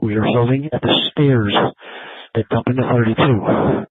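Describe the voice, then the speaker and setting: A man's voice: medium pitch, measured pace, breathy edge, no room sound. A male police officer giving terse tactical instructions over a police radio. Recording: tinny narrowband police scanner radio audio.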